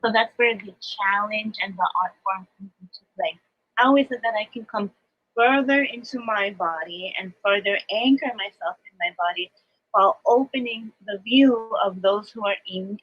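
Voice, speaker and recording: Woman, mid-pitched, earnest spiritual teacher giving reflective live guidance; slightly muffled lo-fi sound. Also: moderate pace, breathy edge. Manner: earnest, reflective, explanatory, new-age vocabulary. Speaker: spiritual teacher, astrology adviser. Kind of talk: live spiritual coaching talk, semi-monologue.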